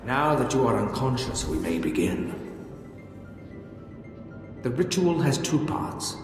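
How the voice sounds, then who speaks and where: An actor playing an ancient Sith spirit in a video game, a solemn keeper of dark secrets who speaks formally and ominously. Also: male voice, mid-range voice, very animated, slow pace. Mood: solemn, instructing. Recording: cavernous echo with long reverb, music behind.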